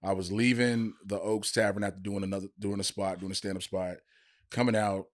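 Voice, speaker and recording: A man co-hosting a podcast, telling stories in animated, slangy, casual banter from a studio. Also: on the low side, fairly quick. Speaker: podcast co-host and stand-up comic.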